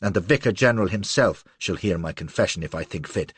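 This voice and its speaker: A man voicing a haughty royal favourite woman with a rich, husky voice who speaks in a commanding way. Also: sits low, animated, normal speed, no echo. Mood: wrathful. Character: imperious, beautiful royal favourite woman, contemptuous.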